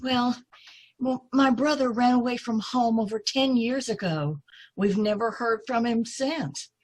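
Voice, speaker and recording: A woman's voice: on the high side, unhurried, a little mellow, poor audio. A woman playing a polite, earnest young woman in an old time radio drama, speaking hesitantly and apologetically. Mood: earnest, hopeful. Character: polite, earnest young woman seeking her lost brother.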